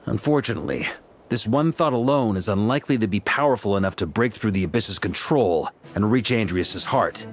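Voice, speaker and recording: A man playing a confident, seasoned knight commander in a video game, explaining things in a genial, assured, measured way. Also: lowish voice, lightly acted. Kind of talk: video game dialogue acting.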